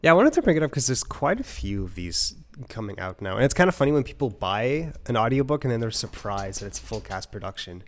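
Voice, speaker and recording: A man's voice: medium pitch, very animated, fast, few pauses. A man in a casual review chat, explaining things in an informal, conversational way as a co-host. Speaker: audiobook review show co-host.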